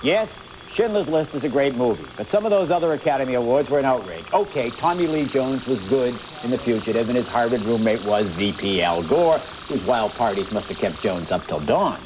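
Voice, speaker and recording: Very low-voiced woman giving opinion commentary. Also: expressive, brisk, hardly any pauses. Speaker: panelist. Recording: noisy 1990s TV broadcast tape.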